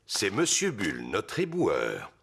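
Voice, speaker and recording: A man's voice: lowish voice, wildly swooping pitch, slow pace, vocal fry, very noisy, full character voice. A man voicing a good-natured father pig in a children's cartoon, jolly and patient as he explains things. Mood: patient explaining. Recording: music behind the voice.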